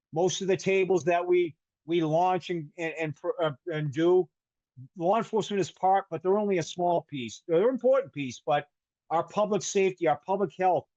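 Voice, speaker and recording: An older man with a hard Boston accent presents over a webinar call, looping through restarts and self-corrections with a light, joking tone. His voice sits fairly high, and the sound is dry and muffled like a video call. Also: a little mellow, no room sound. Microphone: video call microphone. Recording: dull webinar call audio.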